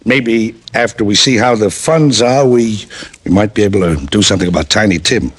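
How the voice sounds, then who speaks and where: A man's voice: lowish voice, fairly quick, breathy edge. An actor playing a reformed old miser businessman, giddy and slangy, in a 1950s radio drama. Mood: teasing, generous. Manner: exclamatory, slangy. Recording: old radio broadcast, music underneath.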